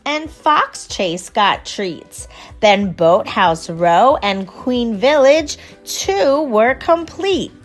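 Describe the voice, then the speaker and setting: A woman reading a rhyming children's storybook aloud in an expressive, unhurried storytelling manner. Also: on the high side. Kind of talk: storybook read-aloud in rhyme.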